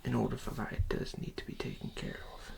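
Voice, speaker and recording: A person performing an ASMR dentist roleplay in a breathy whisper with creaky edges, speaking softly and hesitantly as they give instructions. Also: poor audio. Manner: soft, hesitant, unhurried instructing.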